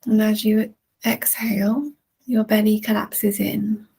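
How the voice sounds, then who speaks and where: A woman leading a guided relaxation in a soothing, gentle manner with long, unhurried pauses. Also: medium pitch, flat delivery, slightly rough. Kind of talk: guided relaxation, meditation narration.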